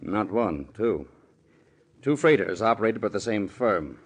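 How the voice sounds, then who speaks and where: An actor playing a polished but uneasy male executive who hedges with many pauses, in 1940s radio acting style. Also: on the low side, expressive. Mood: guarded. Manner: smooth, hesitant, with many uh's.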